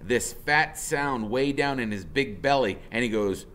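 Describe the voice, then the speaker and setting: A man narrating a first-person story aloud in an expressive, dramatic way. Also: medium pitch, unhurried. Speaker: audiobook reader, teacher.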